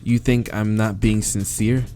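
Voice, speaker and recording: A man's voice: lowish voice, flat delivery, a little slow, dark tone. A man reading a visual novel aloud, breaking off to swear and laugh, with game music behind. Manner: swears and laughs between read lines. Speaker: let's play reader.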